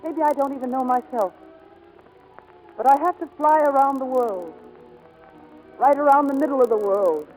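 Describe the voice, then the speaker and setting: A woman's voice: high voice, normal speed, pauses often, a little mellow, close to an everyday voice, poor audio. An actress playing a determined, yearning woman pilot about to marry, in a 1940s radio drama. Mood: earnest, wistful. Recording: vintage 1940s radio recording, faint music.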